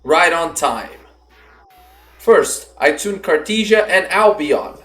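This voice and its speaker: A man's voice: fairly high pitch, lively intonation, slow. Echoing, confident anime-style duelist man acting. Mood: determined. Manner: declamatory, announces each move formally. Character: confident male card duelist, chanting dramatic summons. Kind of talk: fan roleplay anime-style duel acting. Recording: roomy echo under music.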